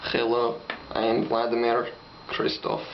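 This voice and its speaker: Detached male villain, played by a man, gravelly, stilted delivery on a dull student drama recording. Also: medium pitch, monotone, unhurried, lightly acted. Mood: cold, detached. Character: cold, matter-of-fact male human trafficker. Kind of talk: student-acted mock interview. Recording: dull, lightly noisy lo-fi recording.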